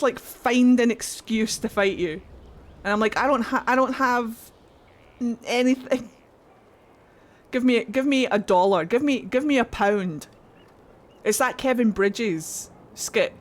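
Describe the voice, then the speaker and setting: Young female streamer from Glasgow; casual, profane, laughing, mid-range voice. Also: mid-range voice. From Glasgow, Scotland. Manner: swearing, laughing often, rambling. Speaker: game streamer. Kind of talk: casual livestream chat while gaming.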